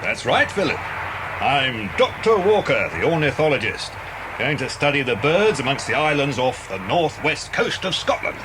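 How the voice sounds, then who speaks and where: An actor playing a genial, reassuring British detective and family friend in a children's audio drama. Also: male voice, sing-song, normal speed. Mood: hearty, reassuring. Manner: hearty, avuncular. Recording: noisy cassette with vehicle rumble.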